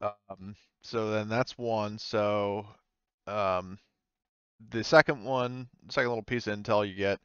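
A man's voice: fairly low pitch, a bit bright, clear, resonant tone, dry recording, highs cut off. A man working as game master, narrating and explaining in a hesitant, rambling way during a tabletop roleplaying game.

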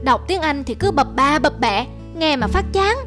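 Casting: woman as sulky young cartoon boy, high voice, spoiled and whiny, over music. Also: animated, moderate pace, a bit bright. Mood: disdainful. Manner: whiny, disdainful. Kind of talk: cartoon voice acting.

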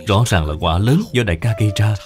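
A man's voice: fairly low pitch, fast talker, music in the background, close to an everyday voice. A man voicing a contrite elder brother in a cartoon, pleading and blaming himself. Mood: ashamed. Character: ashamed, contrite elder brother in old China.

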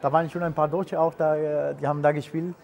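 Brief: man in his forties from Peru, retired footballer as guest, searching for words, slightly higher voice. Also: flat delivery, quick pace, creaky, darkish tone. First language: Spanish. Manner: hesitant non-native German with many fillers. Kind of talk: casual interview answers.

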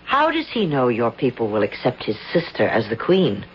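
An actress in a 1970s radio drama playing a young American actress caught up in a royal impersonation, talking briskly and asking pointed questions. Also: female voice, rumbling low, wildly swooping pitch, slight background noise, full character voice. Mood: puzzled. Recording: dull 1970s radio broadcast.

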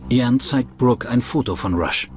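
A man's voice: mid-range voice, lively intonation, a little slow, close to an everyday voice. A man reading scripted true-crime documentary narration as the narrator. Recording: music bed under the voice. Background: background music.

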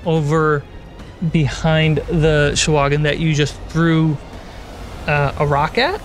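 A man acting as game master, narrating a tabletop roleplaying game with dramatic pauses. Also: on the high side. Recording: loud cinematic music behind. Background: cinematic music and sound effects.

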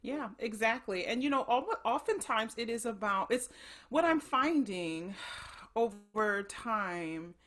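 A middle-aged opera soprano talks on a video call from her living room. She is reflective and unhurried, often breaking off and restarting as she works out what she means. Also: a little high, expressive, fairly bright tone. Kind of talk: casual chat on a video call.

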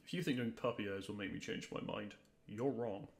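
A man's voice: fairly low pitch, brisk, natural voice. A man playing a grumpy, weary dragon who is secretly fond, speaking to the listener in an exasperated, dry way in an audio roleplay. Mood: weary, annoyed.

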